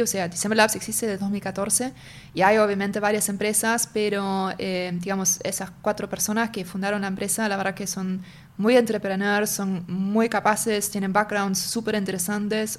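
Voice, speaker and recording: Young woman talking in an interview, in her spanish, explains things slowly, keeps hunting for the right word. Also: mid-range voice, level tone. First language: German. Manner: explanatory, searches for words as she goes. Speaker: marketing and sales lead, interview guest. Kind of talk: casual interview conversation.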